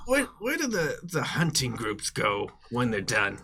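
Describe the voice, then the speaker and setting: A man's voice: mid-range voice, huge pitch swings, a little slow, pushed and bright. A man playing a tabletop game, asking questions casually and a little tentatively in conversation.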